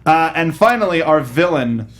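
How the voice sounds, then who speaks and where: A man's voice: on the high side, expressive, normal speed. A man hosting a casual movie panel, informal as he guides the discussion. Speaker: panel show host.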